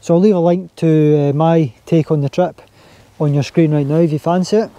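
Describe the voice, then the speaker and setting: Mellow-voiced Scottish man outdoors. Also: fairly high pitch, normal speed. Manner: relaxed, chatty, easygoing. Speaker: outdoor vlogger. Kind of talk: casual talk to camera. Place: outdoors on a windy hilltop campsite. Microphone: handheld camera or mini tripod.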